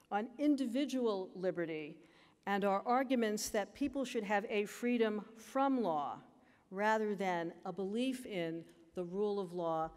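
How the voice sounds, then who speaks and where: An older American woman giving a formal graduation speech at a lectern, with a measured, emphatic delivery. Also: mid-range voice, darkish tone, big-room sound. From United States. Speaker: commencement speaker. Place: large auditorium stage at a lectern. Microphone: lectern microphone.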